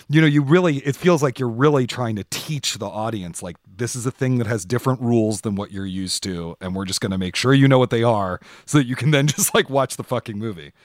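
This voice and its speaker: A man hosting an interview podcast, chatting informally and thinking his questions out loud. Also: medium pitch, no room sound, studio quality. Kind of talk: casual interview chat.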